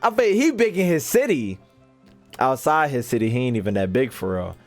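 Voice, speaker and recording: A man's voice: medium pitch, big pitch swings, fairly quick, soft background music. A young American man giving casual, joking, slangy gameplay commentary as a streamer talking to his chat. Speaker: gaming streamer.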